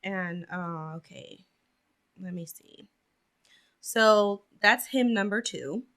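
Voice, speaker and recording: A woman's voice: mid-range voice, takes it slowly, fairly bright tone. A young woman hosting a casual chat about church practice, asking questions and laughing often. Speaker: podcast host. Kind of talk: casual religious discussion.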